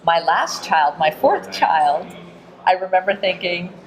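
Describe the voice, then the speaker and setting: A woman's voice: fairly low pitch, expressive, measured pace. A middle-aged woman hosting a conversational interview. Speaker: show host and interviewer. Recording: roomy echo, busy crowd chatter. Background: crowd murmur.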